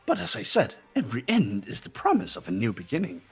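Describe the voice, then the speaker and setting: A man's voice: huge pitch swings, fast, creaky, husky, faint music behind, full character voice. A man voicing a mischievous, sinister male bear mascot in a fan-dubbed game, with a singsong, theatrical delivery. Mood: playfully ominous. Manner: singsong, theatrical, wild pitch swings.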